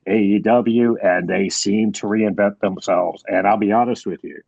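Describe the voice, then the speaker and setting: A man's voice: on the low side, fairly even intonation, moderate pace, gravelly, darkish tone, no echo. A man guest on a wrestling podcast, chatting candidly and often repeating words as he starts a thought. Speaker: guest, wrestling producer and manager. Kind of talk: casual podcast chat. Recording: dull, dry sound.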